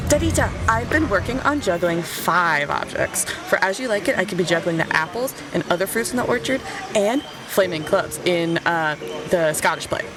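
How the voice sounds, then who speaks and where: An actress playing an eager, upbeat circus juggler in an audio comedy. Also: female voice, lowish voice, lively intonation, moderate pace, over music, close to an everyday voice. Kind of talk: audio drama comedy acting. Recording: clear background ambient noise.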